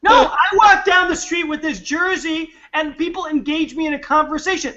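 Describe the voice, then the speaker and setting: A man from Nebraska, a guest on an interview show, telling stories in an animated, excitable way. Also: very high-pitched, moderate pace, dull audio. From Nebraska, USA. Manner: animated, excitable, repeats words. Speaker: guest, venture capitalist and winery owner. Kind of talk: enthusiastic interview storytelling.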